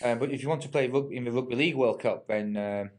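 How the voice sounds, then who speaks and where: A male host with a mid-range voice who chats easily with his guests. He teases them good-naturedly and keeps the conversation moving. He sounds relaxed and at ease. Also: mid-range voice, fast. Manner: jovial, teasing. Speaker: radio show host. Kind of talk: casual chat.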